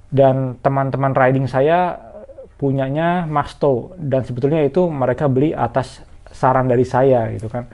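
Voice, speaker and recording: A man's voice: mid-range voice, a little mellow. A man talks viewers through a casual product review in a conversational, explanatory way. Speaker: motorcycle gear reviewer.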